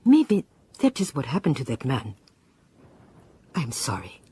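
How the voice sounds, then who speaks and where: A woman's voice: a bass voice, huge pitch swings, unhurried, dark tone, husky, heavily acted. An actress playing a wary, opinionated old village woman in a radio drama, with a Southern African accent, a cracked voice and emphatic repetitions. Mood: fatalistic, musing. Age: older.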